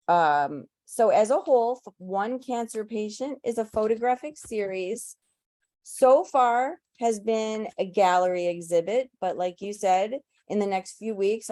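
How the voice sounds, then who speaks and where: A woman speaking as an interview guest in an earnest, unhurried way. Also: on the low side, warm tone, dry recording. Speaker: guest, photographer's subject. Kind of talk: casual interview talk.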